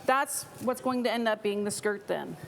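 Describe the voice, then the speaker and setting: A woman's voice: mid-range voice, normal speed, vocal fry, a little hiss or hum. A woman artist casually and playfully talking through her craft step by step. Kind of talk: step-by-step demonstration chat.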